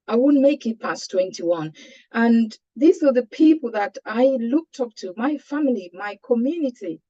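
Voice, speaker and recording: A woman with a Cameroonian accent giving a personal testimony as a webinar panelist, earnest and measured in her delivery. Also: on the high side, mellow. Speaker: panelist sharing a patient's experience. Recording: dull, lo-fi online call audio.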